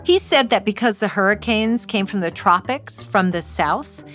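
A woman's voice: mid-range voice, animated, moderate pace, a bit bright, soft background music. A woman writer reading her own first-person essay aloud with wry, dry humor.

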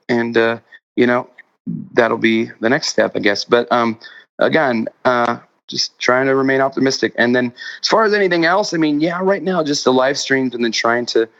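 A man chatting casually as a musician guest in an interview, with a halting, rambling way of talking that often restarts mid-thought. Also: medium pitch, gravelly, a bit bright, lo-fi sound. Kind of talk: casual remote interview chat.

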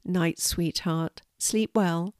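Reader as a soft-spoken caring mum in a storybook, lowish voice, dry sound. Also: female voice, steady tone, very slow, no echo. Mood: gentle. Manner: gentle, soothing. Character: gentle loving mother.

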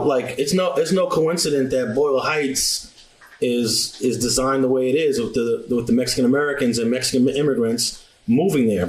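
A man's voice: medium pitch, roomy sound, rough recording. A man from New York, a podcast guest, explaining at length with dates and facts in a casual interview.

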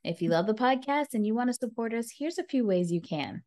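A woman's voice: a little high, expressive, brisk, darkish tone. A woman in her thirties co-hosting a casual podcast, teasing and upbeat. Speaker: podcast co-host. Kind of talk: casual chat.

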